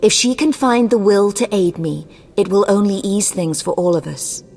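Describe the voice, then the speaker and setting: A woman's voice: medium pitch, normal speed, darkish tone, natural voice. A woman playing an imperious, ruthless sorceress in a video game, haughty, formal and commanding. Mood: cold resolve. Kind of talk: scripted video game dialogue. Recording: long cavernous reverb.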